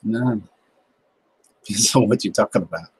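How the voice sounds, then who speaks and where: Husky laid-back Southern man in his fifties. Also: lowish voice, moderate pace, a little mellow, dry recording, poor audio. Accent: Southern American. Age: 50s. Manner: laid-back, joking, folksy rural speech. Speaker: livestream host, gardening channel. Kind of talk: casual chat reading viewer comments.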